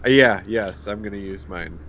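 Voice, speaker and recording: A man playing a tabletop game, speaking casually in a hesitant, halting way. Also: lowish voice, a bit bright, a little hiss or hum.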